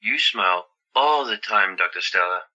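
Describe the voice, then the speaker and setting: An actor playing a gentle, affectionate young wounded soldier in an audio drama. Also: male voice, on the low side, wildly swooping pitch, moderate pace, husky, muffled recording, heavily acted. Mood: tender.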